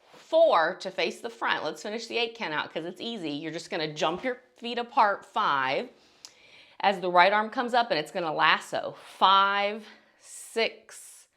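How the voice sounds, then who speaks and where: A woman's voice: medium pitch, fairly bright tone. A woman teaching a beginner dance tutorial step by step, encouraging and counting beats aloud between instructions.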